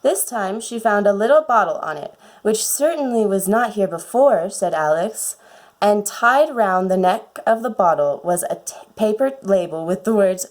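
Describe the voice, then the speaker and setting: A woman reading a children's storybook aloud with a put-on British accent, in an expressive storytelling manner. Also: medium pitch, moderate pace. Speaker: audiobook reader.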